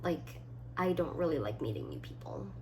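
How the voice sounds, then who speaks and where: Young woman vlogger, lively swings, noisy recording. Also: sits low, moderate pace. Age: about 20. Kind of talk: casual solo chat, reading quiz items aloud and answering. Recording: clearly audible background noise.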